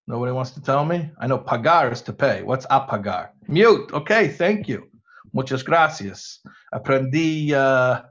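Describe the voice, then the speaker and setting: A middle-aged man teaching with an easy, animated delivery and a mid-pitched voice. He leaps higher when he acts out nervous inner questions. The sound is dull and lo-fi, like a web stream. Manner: animated, mimics anxious self-questioning voices. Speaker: rabbi giving a talk to students. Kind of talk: informal religious talk on a video call.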